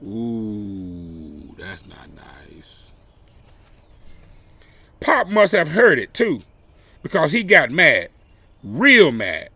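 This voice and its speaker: Slow, bright-voiced man reading a children's story. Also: mid-range voice, huge pitch swings, dry recording. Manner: dramatic, deliberate phrasing with exclamations acted out. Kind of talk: expressive read-aloud of a children's picture book.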